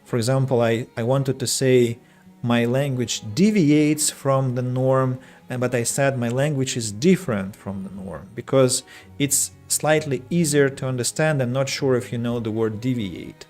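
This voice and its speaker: A young adult man with a Russian accent talks unhurriedly while playing a game on stream, often stopping to restart a phrase. His voice sits at an ordinary middle pitch, and faint game music plays underneath. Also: a little mellow. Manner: slow, careful wording with frequent restarts. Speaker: streamer and English teacher. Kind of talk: casual gameplay streaming chat.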